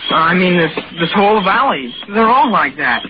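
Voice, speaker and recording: A man's voice: sits high, very animated, moderate pace. An actor playing an earnest, trusting young American man in a 1940s radio drama, boyish, folksy and hesitant. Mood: uneasy earnest. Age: young adult. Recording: noisy, muffled 1940s radio recording.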